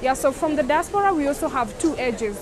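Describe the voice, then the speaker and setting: High-voiced woman at a busy expo. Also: animated, a little fast, a crowd in the background. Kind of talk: interview at an expo stand. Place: indoor expo stand.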